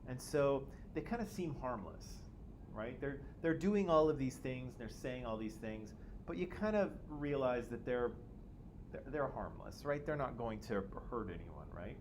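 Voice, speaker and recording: A man's voice: medium pitch, animated, a little slow, darkish tone. A man teaching a class, joking with students and asking questions, often tagging "right?" onto his points. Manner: jokey, self-teasing, checks in with "right?" constantly. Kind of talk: classroom discussion. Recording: noisy classroom audio.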